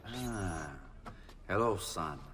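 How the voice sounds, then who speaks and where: Authoritative dad in a game, low voice, faint ambience behind. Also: male voice, animated, drawn-out words, a bit bright, a little hiss or hum, exaggerated voice. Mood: businesslike. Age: middle-aged. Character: stern but fond Italian father, authoritative nobleman. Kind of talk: video game cutscene acting.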